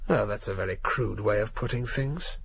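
An actor playing a smug, prickly idealist in a radio drama, cool, precise and self-righteous. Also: male voice, lowish voice, moderate pace, vocal fry, breathy edge. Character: smug, prickly political idealist under questioning. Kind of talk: British radio drama acting.

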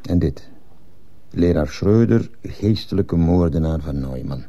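A man playing a stern, formal school headmaster in a radio drama, speaking in a measured, officious way. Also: a bass voice, expressive, mellow, exaggerated voice. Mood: coldly reproving. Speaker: actor in a radio play. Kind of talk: Dutch-language radio drama acting.

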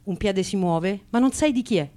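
A woman's voice: mid-range voice, animated, normal speed, mellow. A woman performing a scripted theatrical recitation. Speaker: performer in a spoken theatre piece.

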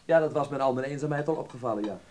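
An actor playing a bantering, slightly wistful young artist in a Dutch radio play, chatty in manner. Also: male voice, mid-range voice, racing, a little mellow, lightly acted. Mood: friendly, candid.

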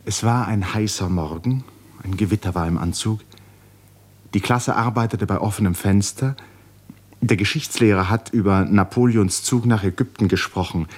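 Narrator, male: deep and husky, 1950s literary radio drama, deliberate, dramatic phrasing with hushed falls. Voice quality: breathy, husky.

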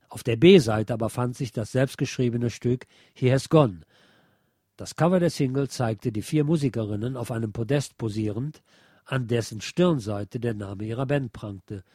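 A man reading aloud from a nonfiction book as a radio presenter, in a measured, articulate way. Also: mid-range voice, mellow, no room sound, studio quality. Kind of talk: scripted narration, read aloud from a nonfiction book.

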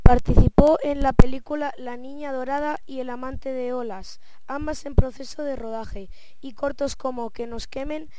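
Woman reading a bio off a sheet, high voice, noisy recording. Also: normal speed. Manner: steady listing read-aloud. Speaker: school radio presenter. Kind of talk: reading a biography aloud.

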